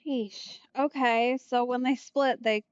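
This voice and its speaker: A woman's voice: a little high, fairly even intonation, slow, smooth, no room sound, lo-fi sound. A woman streamer giving casual Let's Play commentary, sarcastic and slangy. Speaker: streamer playing a video game.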